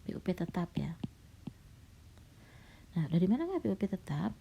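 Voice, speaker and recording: Husky, gravelly-voiced woman lecturer. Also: fairly low pitch, sing-song, normal speed, mellow. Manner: patient, explanatory, with frequent "nah" and "ya". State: coughing, slightly hoarse. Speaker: university lecturer. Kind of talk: recorded slide lecture. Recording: dull lo-fi recording.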